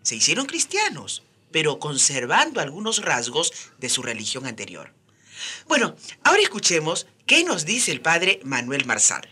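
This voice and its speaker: A woman hosting a radio programme in a scripted, projected, animated presenting style. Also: low-pitched, normal speed, husky. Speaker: radio host. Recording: music behind the voice. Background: music.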